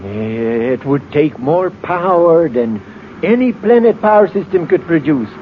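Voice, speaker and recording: Professor: cautious scientist, highly animated pitch, pondering delivery, tinny noisy vintage radio serial. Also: male voice. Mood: cautious, intrigued. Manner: deliberate, pondering, expository. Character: earnest, cautious male space scientist, a professor. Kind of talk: 1950s radio drama acting. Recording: very thin, noisy old radio recording.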